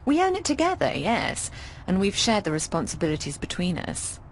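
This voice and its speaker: A woman's voice: medium pitch, huge pitch swings, normal speed, a little hiss or hum. An actress playing a worldly, teasing middle-aged landlady in a video game, breezy and wry, with a theatrical drawl. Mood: breezy, informative. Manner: theatrical, drawling, calls people darling. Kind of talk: video game dialogue acting.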